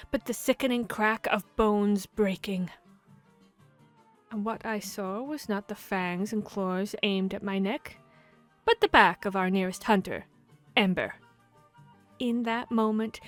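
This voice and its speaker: A woman playing a boastful, playful vampire hunter in a fantasy audio roleplay, speaking in a theatrical, expressive storytelling manner. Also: medium pitch, natural voice. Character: boastful, playful female vampire hunter telling tavern tales. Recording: music behind the voice. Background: background music, tavern ambience.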